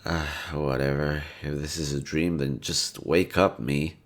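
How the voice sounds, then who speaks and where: A young man with a gravelly, fry-heavy voice, relaxed and gently teasing. He speaks softly to a listener, as in an intimate audio roleplay. His pitch sits very low throughout. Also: unhurried. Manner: laid-back, teasing, soft-spoken. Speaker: voice actor.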